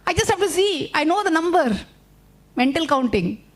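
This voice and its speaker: A woman's voice: very high voice, big pitch swings, normal speed. A woman voicing a boastful, eager male duck with a chirpy, proud voice in a told story. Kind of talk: storytelling to a child.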